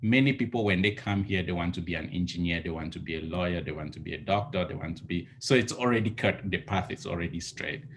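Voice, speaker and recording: Deep-voiced man giving advice on a video call. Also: fairly bright tone, a little hiss or hum. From Cameroon. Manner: earnest, advice-giving, restarts often. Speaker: entrepreneur guest. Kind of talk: casual video call interview. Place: home living room, indoors. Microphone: laptop webcam on a video call.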